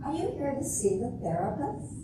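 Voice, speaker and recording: A woman's voice: high-pitched, very animated, moderate pace. An older woman playing a disillusioned, wry Cinderella in an amateur stage reading, with a dry, sardonic delivery. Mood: weary, dry. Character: jaded, wry grown-up Cinderella, disillusioned with romance. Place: echoey room at a retirement community event. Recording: very echoey room, distant mic, hissy noise.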